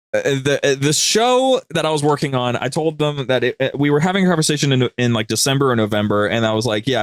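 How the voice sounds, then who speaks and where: A man's voice: medium pitch, no room sound, studio quality. Casual rambling man telling anecdotes. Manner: rambling, informal, conversational storytelling. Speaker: podcast guest, show producer.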